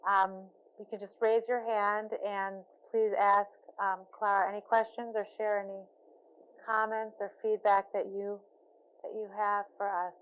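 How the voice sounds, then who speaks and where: A woman's voice: medium pitch, level tone, a little slow, phone-quality sound. Woman moderating a Q&A. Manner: repeats audience questions back clearly. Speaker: interviewer, moderator. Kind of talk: moderating audience questions.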